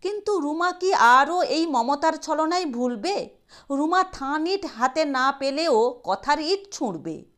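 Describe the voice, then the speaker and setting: A woman reading a short story aloud in an expressive storytelling manner. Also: sits high, slow. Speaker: audio story narrator. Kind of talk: scripted dramatic narration of a Bengali short story.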